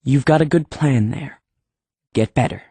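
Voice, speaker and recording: A woman's voice: very deep voice, unhurried, dark tone, husky, no room sound, exaggerated voice. A woman playing a teasing, easygoing teenage boy mage in an audiobook, laid-back and wry. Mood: reassuring. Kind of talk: full-cast audiobook acting.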